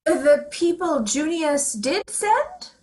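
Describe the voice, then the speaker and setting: Fussy society mother of the bride, acted by a woman: very high, swooping, genteel, radio comedy delivery. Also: big pitch swings, slow, darkish tone, exaggerated voice. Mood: flustered, fretful. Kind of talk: 1940s radio comedy acting.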